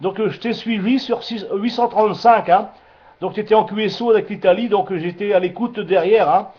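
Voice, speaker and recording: A man with a notably high voice chats casually over amateur radio. He repeats himself and drops in operator jargon. The sound is dull and lo-fi. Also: fairly quick. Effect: radio transmission. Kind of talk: casual radio contact chat.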